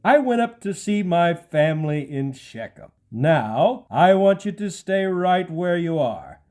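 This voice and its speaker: A man's voice: high voice, very animated, a little slow, a little mellow. A man playing a scheming, ruthless claimant to a throne in an audio Bible drama, with feigned courtesy. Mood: coolly menacing.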